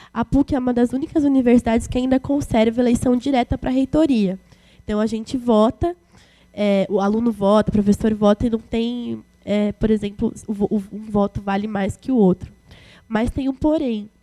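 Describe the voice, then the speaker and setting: A woman's voice: on the high side, dark tone. A twenty-year-old woman, giving an informal talk as a student activist, colloquial and slangy as she answers questions. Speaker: guest speaker, student activist.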